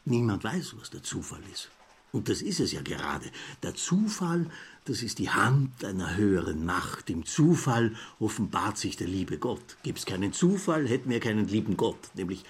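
A bohemian Viennese editor in a literary radio drama. His voice is low and husky with an Austrian accent. He lectures in wordy, pompous sentences, like a self-appointed philosopher. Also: very animated, normal speed, exaggerated voice. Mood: philosophizing.

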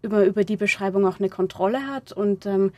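A woman answering interview questions reflectively, haltingly searching for words and correcting herself as she goes. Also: medium pitch, warm tone, no room sound, highs cut off. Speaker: interviewed playwright discussing own audio drama.

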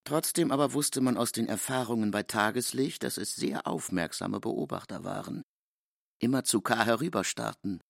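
A man narrating literary prose in a scripted studio reading, with a gravelly voice. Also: mid-range voice, normal speed, no echo. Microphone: close, dry studio microphone.

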